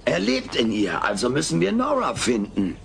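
A cocky wisecracking alien, swoopy theatrical delivery, slightly noisy old cassette. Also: male voice, mid-range voice, huge pitch swings, normal speed, vocal fry, a bit bright, exaggerated voice. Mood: mock-innocent. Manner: glib, theatrical, mock-innocent. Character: wisecracking, scheming furry alien houseguest, brash and cocky. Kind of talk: dubbed sitcom audio drama.

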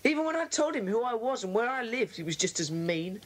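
Earnest, protesting schoolboy. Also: male voice, very high-pitched, big pitch swings, fairly quick, fairly bright tone, exaggerated voice. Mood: aggrieved. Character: earnest, apologetic schoolboy in a secret club. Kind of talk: children's audio drama acting.

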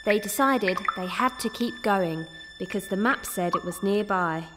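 A woman's voice: medium pitch, moderate pace. A woman narrating a scripted children's story as the narrator. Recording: loud music behind the voice.

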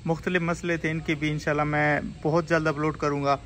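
Man, higher-pitched, sharp bright tone, step-by-step explaining, informal repair tutorial. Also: flat delivery, a little fast. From Pakistan. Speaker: repair technician and video host. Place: indoor repair workshop. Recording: clear background noise in a workshop.

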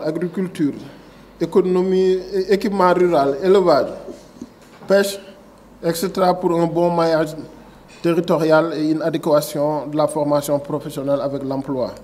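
A man's voice: a little high, a little mellow, a little hiss or hum. Senegalese man giving a formal speech. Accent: Senegalese French. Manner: reads prepared text, then digresses with many fillers and restarts. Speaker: agency director giving a speech. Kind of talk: formal speech at a signing ceremony. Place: indoor conference room. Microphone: table microphone.

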